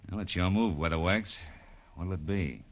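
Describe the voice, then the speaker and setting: An actor playing a wry, tough private detective who narrates an old radio crime drama. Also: male voice, low voice, normal speed. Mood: wry, sardonic. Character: wry, hard-boiled male private detective, tough and brisk. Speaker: lead and narrator. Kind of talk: 1940s-50s hard-boiled radio drama acting. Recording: old radio broadcast with music.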